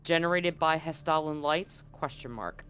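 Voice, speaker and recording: A man's voice: on the high side, normal speed, bright, forceful tone. A man acting as game master, narrating a tabletop roleplaying game and reading handouts aloud.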